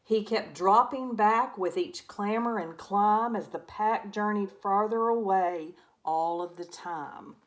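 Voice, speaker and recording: A woman narrates a rhyming children's story with expression. Her medium-pitched voice moves very slowly through the verse. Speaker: storybook reader.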